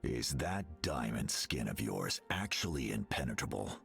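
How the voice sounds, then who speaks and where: A menacing, grim gunman from a video game. The voice is extremely low and thick with gravelly fry, gruffly delivered over clear music. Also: big pitch swings, normal speed, a bit bright, heavily acted. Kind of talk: video game hero voice lines.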